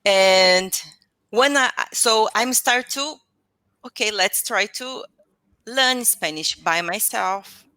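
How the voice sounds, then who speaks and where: A Brazilian woman practicing English, her accent plain and her speech slow and halting as she hunts for words. Her voice is bright, with a dry, close sound and no room echo. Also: medium pitch. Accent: Brazilian Portuguese. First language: Portuguese. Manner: halting, searches for words, many restarts. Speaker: English learner. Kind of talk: casual conversation practice.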